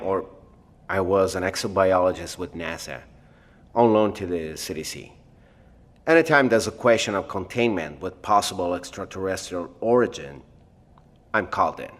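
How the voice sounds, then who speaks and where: Guy playing a jittery educated scientist in an audio drama, lowish voice, careful wording, big echoey hall. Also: animated, normal speed, long pauses, a bit bright. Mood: hesitant, guarded. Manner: hesitant, precise, educated phrasing. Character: nervous blind male scientist, formal and wary, stranded alone. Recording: long echoey reverb of an empty hall.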